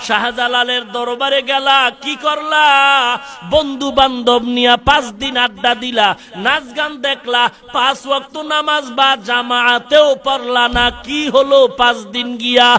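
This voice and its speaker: A man with a Bangladeshi accent preaching an Islamic sermon, impassioned and pleading, moving between drawn-out chanted cries and plainer storytelling. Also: very high-pitched, fairly even intonation, pushed and bright, faint music behind. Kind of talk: emotive Bengali waz sermon with chanted, sung-out lines.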